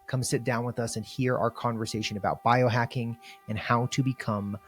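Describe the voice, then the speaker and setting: A man's voice: mid-range voice, fairly even intonation, normal speed, soft background music. A man hosting a podcast interview remotely, upbeat and effusive, asking long rambling questions. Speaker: podcast host. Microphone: remote, video call.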